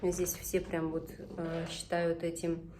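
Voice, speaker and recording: Thirties woman from Tatarstan, Tatar native speaker, lowish voice, chatty homely vlogger-mom tone. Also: monotone, slow pace, noisy background. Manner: chatty and homely, often breaks off to instruct her children. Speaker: vlogger and mother. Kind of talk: casual home vlog chat while cooking with kids. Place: home kitchen.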